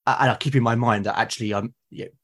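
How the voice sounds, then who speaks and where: A man with a British accent and a husky, slightly rough voice at a middling pitch. He speaks as an interview guest, explaining his thoughts earnestly in a conversational way. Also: steady tone, very fast, fairly bright tone. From Britain. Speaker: podcast guest, fiction podcaster.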